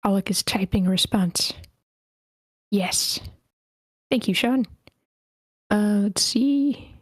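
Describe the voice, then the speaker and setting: A woman's voice: mid-range voice, slow, dry recording. A woman casually moderating an online group feedback session. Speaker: meeting moderator. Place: online meeting.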